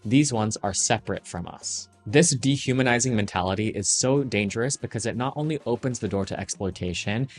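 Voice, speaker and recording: A man narrating a scripted video essay on the fashion industry in a measured, serious, critical manner. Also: fairly low pitch.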